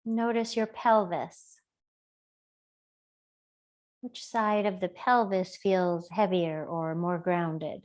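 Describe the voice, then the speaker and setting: A woman teacher gently guiding a body scan meditation in an unhurried, soothing way with long pauses. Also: on the low side, steady tone. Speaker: yoga and body awareness teacher.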